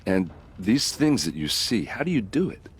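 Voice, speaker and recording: A man's voice: big pitch swings, moderate pace, a little hiss or hum. An actor voicing a young male warrior in a video game who grieves his murdered sister, earnest and pleading. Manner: earnest, pleading, low-voiced.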